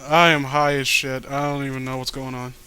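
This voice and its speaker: A man's voice: medium pitch, flat delivery, brisk, bright, forceful tone, a little hiss or hum. A man riffing casually as he hosts a comic read-along, profane, emphatic and argumentative. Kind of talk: casual riffing commentary with read-aloud dialogue.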